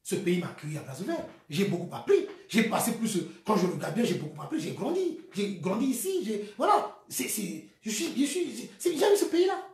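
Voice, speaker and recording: A man from the Republic of the Congo with a Congolese French accent, giving an impassioned political monologue, swinging between shouts and quiet bitter asides. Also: sits high, big pitch swings, a little fast, hardly any pauses, roomy sound. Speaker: activist vlogger.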